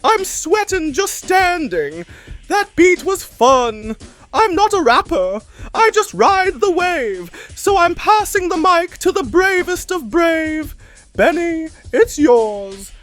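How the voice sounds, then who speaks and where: A woman's voice: extremely high pitch, big pitch swings, slow, a bit bright, exaggerated voice. A woman voicing a perky, sassy puppet co-host who delivers rhythmic, rhyming showy introductions in a scripted comedy. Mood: perky, hyped.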